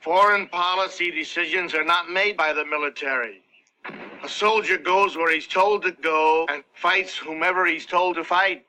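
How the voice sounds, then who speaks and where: An actor playing a composed army master sergeant answering reporters in a 1960s war film, heard through a poor playback. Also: male voice, on the high side, steady tone, moderate pace, pushed and bright, slight background noise. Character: steady male army master sergeant briefing press. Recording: poor film clip played back.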